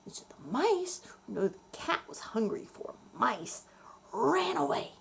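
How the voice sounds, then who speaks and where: A woman tells a bedtime story for children in a lightly high voice. Her delivery is slow and deliberate, with expressive, swooping intonation as she explains things to young listeners. A faint noise sits behind her. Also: gravelly.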